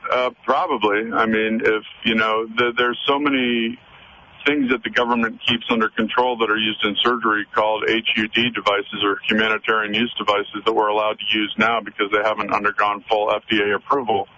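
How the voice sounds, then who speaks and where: A man's voice: on the low side, flat delivery, fairly quick, bright, forceful tone. A man calling in to a radio show from his car over a phone line, talking earnestly with frequent hesitations and fillers. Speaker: caller. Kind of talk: call-in radio conversation. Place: in a car, driving. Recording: muffled phone call with faint road noise.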